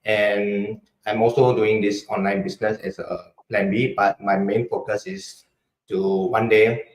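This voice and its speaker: A man's voice: lowish voice, little pitch movement. Young man, friendly, echoey room. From Burma. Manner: friendly, polite, slightly halting. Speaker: guest. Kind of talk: casual interview introduction.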